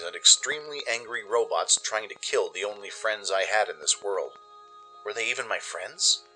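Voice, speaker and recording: A man reads a dramatic first-person story as a radio play narrator. His voice sits a bit low yet has a bright, tense edge. He is measured and expressive, with music beneath him. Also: rough recording.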